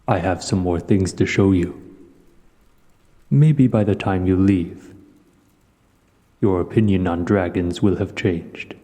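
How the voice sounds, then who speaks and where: Man voicing a deep, cultured dragon in cavernous reverb. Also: dark tone. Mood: inviting. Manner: measured, formal, haughty storyteller. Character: proud, cultured male dragon hoarder, huge and measured. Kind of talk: audio roleplay, scripted monologue to the listener.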